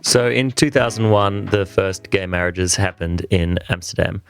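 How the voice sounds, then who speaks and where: A man hosting a history podcast, asking his guest measured interview questions. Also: low-pitched, level tone, creaky, over music. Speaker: podcast host.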